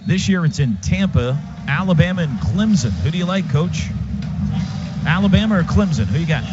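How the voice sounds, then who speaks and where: An American man calls football live on the radio from a stadium booth. His voice sits a little high, and he moves between clipped calls and conversational asides. The sound is muffled, with clear noise behind him. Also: very animated, normal speed. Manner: clipped phrases during play, conversational between. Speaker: radio play-by-play announcer. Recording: dull, noisy live stadium radio broadcast.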